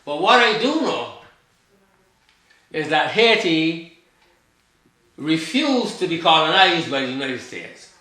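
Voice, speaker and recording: A man's voice: a little high, sing-song, slow, pauses often, sharp tone. Older man from Barbados, very echoey. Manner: emphatic, repeats himself, trails off mid-thought. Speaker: guest, author. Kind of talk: rambling conversational storytelling. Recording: very echoey room.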